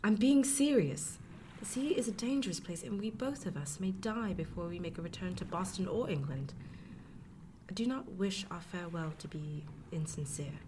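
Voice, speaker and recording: A woman plays an earnest, defiant daughter in a period radio drama. Her voice sits a little low and her phrasing is formal, with clear background noise around it. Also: lively intonation, normal speed, close to an everyday voice. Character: earnest, defiant grown daughter, 18th-century young lady. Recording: noisy background ambience.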